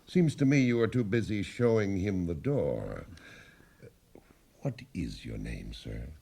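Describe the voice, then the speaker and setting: Gravelly, wry gentleman. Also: sits low, big pitch swings, slow pace, slight background noise, heavily acted. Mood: wry, courteous. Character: suave, wry gentleman onlooker, coolly amused. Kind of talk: radio drama acting.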